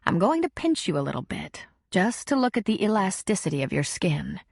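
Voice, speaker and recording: A woman voicing a calm, patient counselor who asks gentle, probing questions. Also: mid-range voice, fairly quick, vocal fry, dry recording, clean studio sound. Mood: caring.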